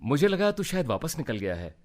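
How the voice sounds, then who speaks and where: A man's voice: a little high, very animated, fairly quick, a little mellow. An actor in a radio play as a curious, familiar younger brother, questioning his elders and friends. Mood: friendly curiosity.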